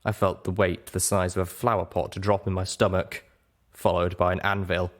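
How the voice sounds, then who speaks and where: A man's voice: low-pitched, level tone, normal speed. A man reading scripted first-person fiction as an audiobook narrator.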